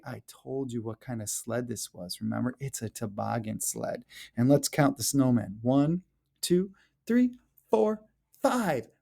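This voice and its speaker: A man reading a picture book aloud to young children in an animated, playful way, with exaggerated questions and exclamations addressed straight to them. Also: fairly high pitch, creaky. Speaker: elementary school educator reading aloud.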